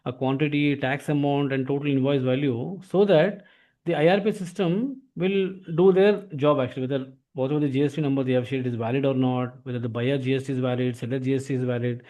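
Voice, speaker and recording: Indian guy teaching a class, talks really fast, soft mellow voice, lots of filler tags. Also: mid-range voice, flat delivery. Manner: repeats filler tags like "actually". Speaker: instructor. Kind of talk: informal explanatory software lesson.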